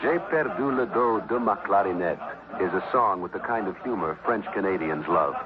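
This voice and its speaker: A man's voice: on the low side, moderate pace. An American man narrating a 1960s radio advertisement in a scripted announcer style. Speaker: commercial announcer. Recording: tinny old radio ad, loud music. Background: loud music.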